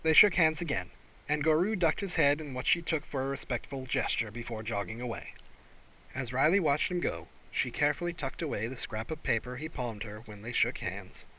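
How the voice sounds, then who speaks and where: A man narrates scripted fiction as an audiobook reader. His pitch sits in the middle for a male voice. The tone is bright and forward. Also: animated, a little fast, low-quality recording.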